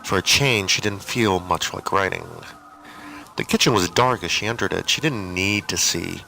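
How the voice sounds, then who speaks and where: A man's voice: moderate pace, creaky, sharp tone. A man narrating scripted fiction as a storyteller. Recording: noisy tape with low rumble.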